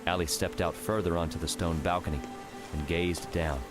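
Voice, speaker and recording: A man's voice: low-pitched, fairly even intonation, normal speed. A man reading scripted fiction narration as an audiobook narrator. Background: music.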